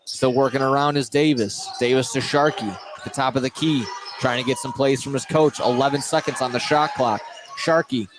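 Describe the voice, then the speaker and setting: A man doing live play-by-play commentary of a high school basketball game from inside a gym. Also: fairly even intonation, moderate pace, background noise. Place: indoor gymnasium commentary position.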